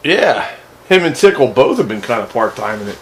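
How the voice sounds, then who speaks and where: A man's voice: mid-range voice, sing-song, normal speed. A man in casual, laid-back conversation as a radio operator, heard over a noisy radio transmission. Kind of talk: casual chat. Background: clear radio noise.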